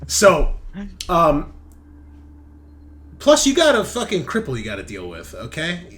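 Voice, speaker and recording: A man's voice: a little high, wildly swooping pitch, unhurried, a bit bright. A man running a tabletop roleplaying game as game master, narrating casually and bantering with plenty of swearing.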